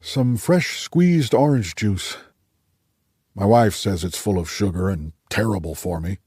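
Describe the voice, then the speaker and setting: A man's voice: lowish voice, a little slow, a little mellow, slightly rough, no room sound, clean studio sound. A man voicing a cheerful, talkative man of nearly ninety with a wry, genial manner, in an audiobook. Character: spry, cheerful old man near ninety, amateur painter.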